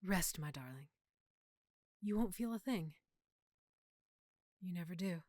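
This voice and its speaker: A woman playing a lovesick, guilt-ridden vampire girlfriend in an intimate audio roleplay, speaking tenderly and confidingly with dramatic pauses. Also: lowish voice, expressive, a little mellow, dry recording. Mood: guilty, tender. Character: lovesick, guilt-ridden female vampire hiding her nature from boyfriend.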